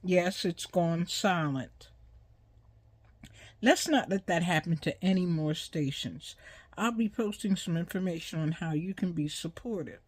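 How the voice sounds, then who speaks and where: Older female host, 60s plus, unhurried halting delivery, close dry sound, informal educational talk. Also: on the low side, no echo. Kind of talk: informal educational monologue on radio history.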